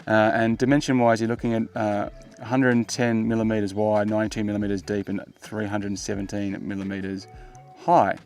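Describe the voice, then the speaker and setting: A middle-aged Australian man with a low-pitched voice talks through a gear review as a vlogger. His phrasing is casual and laid-back, as if chatting to the viewer, with music underneath. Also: little pitch movement, fairly quick, darkish tone. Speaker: tech vlogger, product reviewer. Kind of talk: casual product review to camera.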